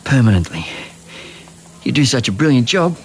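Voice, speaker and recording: An actor playing a weary restaurant boss in an audio drama, sardonic, self-deprecating and hesitant. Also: male voice, mid-range voice, sing-song, moderate pace, slight background noise. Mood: forced cheer.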